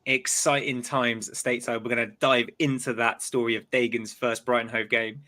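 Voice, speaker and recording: A man's voice: medium pitch, level tone, moderate pace, pushed and bright. A man hosting a live-stream fan podcast, chatty and loose, often repeating words as he goes. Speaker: podcast host. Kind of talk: casual live-stream chat.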